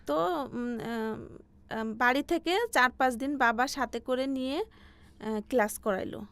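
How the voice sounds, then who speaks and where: A woman in her forties with a Bangladeshi accent, telling her life story as an interview guest in a halting way, circling back over her phrases. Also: high-pitched, fairly bright tone, clear, resonant tone, no echo. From Bangladesh, Faridpur region. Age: 40s.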